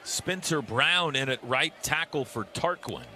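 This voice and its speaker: A man's voice: medium pitch, lively intonation, unhurried, sharp tone. Male football play-by-play announcer, noisy stadium. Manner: clipped, vivid running description that swells on big plays. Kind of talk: live football play-by-play commentary. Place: stadium broadcast booth. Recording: clearly noisy live stadium broadcast.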